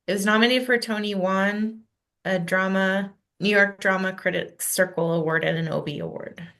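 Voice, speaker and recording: A woman's voice: medium pitch, steady tone, normal speed, fairly bright tone. A woman in casual discussion after a play reading.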